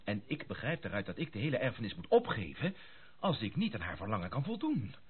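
An excitable young gentleman in a radio drama. His voice is husky and leaps up and down as he exclaims and stumbles over his words. The recording is dull and lo-fi. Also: on the low side, fast talker. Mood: agitated, troubled. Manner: effusive, exclamatory, stammering when agitated. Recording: muffled lo-fi radio play recording.

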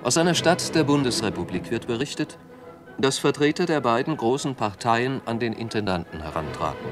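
A man's voice: medium pitch, animated, a little fast, over music, lightly acted. A man reading scripted documentary narration.

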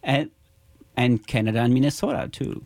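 A man's voice: medium pitch, expressive, moderate pace, darkish tone, no echo. A man hosts an easygoing bilingual chat and laughs easily. His voice is in the middle of the male range. The sound is close and dry, with no room around it. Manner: laughs easily, switches between French and English. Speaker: host. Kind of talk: casual radio chat.

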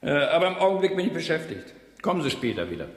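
Terse male caretaker, defensive, animated intonation, vintage radio play, strongly echoing room. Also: fairly high pitch, quick pace. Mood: curt, defensive. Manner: terse, evasive. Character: curt, unwelcoming male caretaker. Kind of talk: 1970s German radio crime drama acting. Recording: echoey, reverberant hall.

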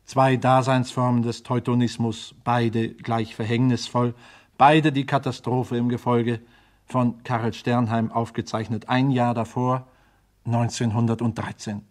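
A man giving a measured, sober, formally scripted introduction as the narrator of a radio play. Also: mid-range voice, little pitch movement.

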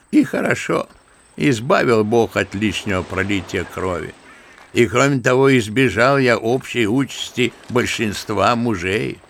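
Gravelly old grandfather, wryly bitter. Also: mid-range voice, slight background noise. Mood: bitter, philosophical. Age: older. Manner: theatrical storytelling, mimicking others, savouring words. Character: embittered, talkative old grandfather, an old-school Russian gentleman. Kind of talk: audio drama acting of a literary story.

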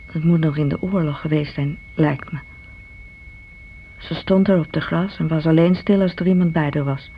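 A woman's voice: sits low, fairly even intonation, moderate pace. A woman playing a blunt, resentful elder sister in her thirties in a radio play, speaking with frank disdain. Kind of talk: radio play monologue, confiding. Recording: muffled old radio recording, faint hiss.

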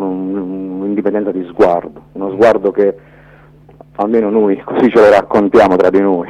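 A man with a deep, dark voice answers interview questions off the cuff. He pauses often, reaching for the right word as he reflects. The sound is dull and low in fidelity, like a modest radio recording. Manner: hesitant, halting, reflective, searching for words. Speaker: documentary filmmaker, interview guest.

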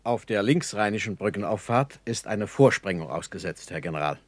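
An actor playing a sober army engineer captain giving formal, precise reports in a German radio play. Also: male voice, lowish voice, expressive, a little fast, no room sound. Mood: sober, reporting. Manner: matter-of-fact, formal, precise.

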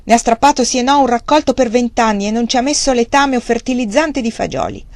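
A woman's voice: medium pitch, very animated, moderate pace, slightly rough, dry recording. A woman reading the part of an eager, stubborn young male farmer with emphatic outbursts. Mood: insistent. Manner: emphatic exclamations.

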